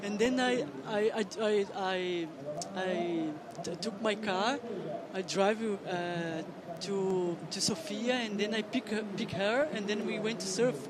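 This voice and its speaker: A woman with a Brazilian Portuguese accent, a former pro surfer commentating live, halting with many fillers and restarts. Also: mid-range voice, over a noisy crowd.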